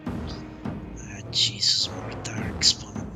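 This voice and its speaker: A man's voice: on the low side, monotone, drawn-out words, over loud music. A man giving casual live commentary as he streams a game, offhand and half talking to himself. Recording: clear game noise behind voice. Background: game ambience and sound effects.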